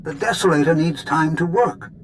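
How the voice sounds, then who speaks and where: An actor playing a clever, grumbling scientist in a video game, wordy and precise. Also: male voice, fairly high pitch, moderate pace, slight background noise, lightly acted. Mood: analytical. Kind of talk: scripted video game acting.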